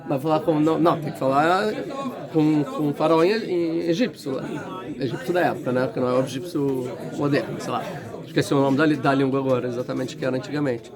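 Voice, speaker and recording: A man's voice: fairly high pitch, fast talker. Man teaching a chatty class. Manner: thinking aloud, with many restarts and questions to the class. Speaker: Torah teacher. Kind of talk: casual classroom lesson. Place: large indoor room. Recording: room with crowd noise. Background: students in the room.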